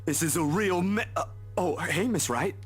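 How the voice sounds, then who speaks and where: A man's voice: high-pitched, slightly rough, faint music behind, voice pushed up. A man voicing a broad-built, awkward young man who halts and stammers. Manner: halting, stammering speech.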